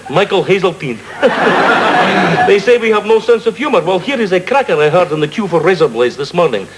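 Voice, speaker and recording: A man's voice: sits high, expressive, brisk. A man playing a cheerful, defensive Soviet citizen with a Russian accent, delivering an earnest, stilted comic monologue. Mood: jovial, eager. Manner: earnest, declarative, stilted phrasing. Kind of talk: comic monologue to a live audience. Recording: very noisy broadcast comedy recording.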